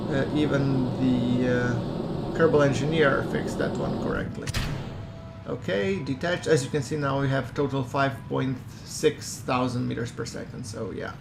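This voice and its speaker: A man giving relaxed, chatty commentary over a space-game playthrough, with a soothing voice and self-deprecating asides. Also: mid-range voice, unhurried, gravelly, very noisy. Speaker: gameplay commentator. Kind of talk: casual let's-play commentary.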